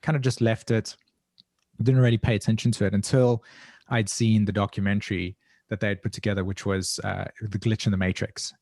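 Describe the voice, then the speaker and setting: A man's voice: lowish voice, level tone, fairly quick, darkish tone, no echo, clean studio sound. A man with a South African accent, speaking as an interview guest in a reflective, earnest, introspective way, often searching for his words. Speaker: guest, evolutionary astrologer.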